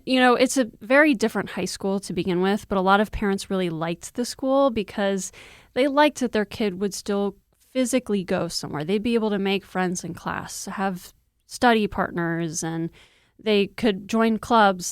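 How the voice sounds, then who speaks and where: A woman's voice: medium pitch, smooth, no room sound, studio quality. A woman reporter explaining things step by step in an informal, conversational news discussion. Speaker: news reporter, interview guest.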